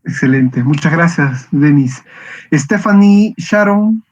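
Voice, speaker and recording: High-voiced male teacher on a call. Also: animated, takes it slowly, a little mellow. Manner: brief, polite acknowledgements. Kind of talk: calling on students in an online class. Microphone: video call. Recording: muffled video call audio.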